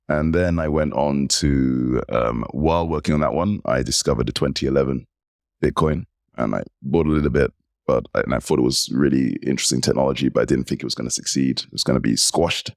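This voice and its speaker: Very deep, gravelly English man. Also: a little fast, no echo, studio quality. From United Kingdom. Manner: animated storyteller. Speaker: podcast guest. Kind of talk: casual interview chat.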